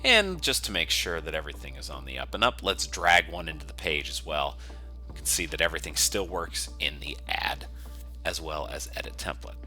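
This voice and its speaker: A man with a low-pitched, strikingly bright and forward voice leads a software tutorial. He explains each step conversationally while he works, in an informal screencast style. Faint music can be heard with the voice. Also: very animated, moderate pace. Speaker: software developer giving a coding tutorial.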